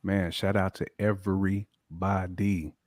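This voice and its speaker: A man's voice: deep voice, little pitch movement, slow pace, a little mellow, no room sound, studio quality. An American man on a podcast panel, chatting casually in a teasing, slangy way and repeating phrases for emphasis. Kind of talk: casual chat.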